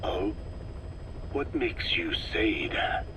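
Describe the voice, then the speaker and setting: An actor playing an ancient, soft-spoken scientist in a video game, with a breathy aged voice and a measured, formal way of telling things. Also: male voice, expressive, heavily acted. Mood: calm, wry. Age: older. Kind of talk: dramatic video game dialogue. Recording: noisy cassette tape with vehicle-like rumble.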